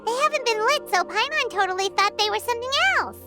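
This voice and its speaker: Game cast: a bubbly, high-pitched little fairy companion with a lively, bouncing delivery. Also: female voice, fast. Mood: curious. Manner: bubbly, refers to herself in third person. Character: tiny excitable fairy companion, female. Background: game music.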